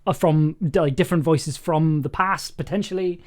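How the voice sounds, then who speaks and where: A man's voice: a little high, normal speed, a little mellow. A man hosting a casual live stream about making audio drama, joking at his own expense and laughing readily. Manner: jokey, self-deprecating, prone to laughing. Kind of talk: casual livestream chat.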